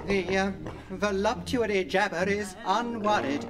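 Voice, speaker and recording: Prim worried robot butler type, fussy formal translator, radio drama, loud music behind. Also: male voice, high-pitched, measured pace. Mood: formal, reluctant. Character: prim, anxious, fretful male protocol droid translator. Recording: loud film score behind dialogue.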